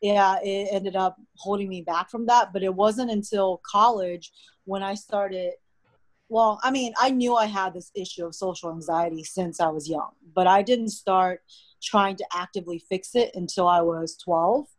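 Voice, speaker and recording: Young woman, first language mandarin, casual storytelling, medium pitch, dry sound. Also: normal speed, dry recording. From United States. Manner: relaxed, chatty storytelling with frequent "like". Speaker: podcast guest. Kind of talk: casual interview conversation.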